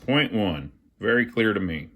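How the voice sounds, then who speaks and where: Low-voiced man talking through a repair. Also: expressive, a little slow, bright, forceful tone. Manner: folksy, thinking aloud as he works. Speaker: host of an amateur radio repair channel. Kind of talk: casual hands-on repair tutorial narration.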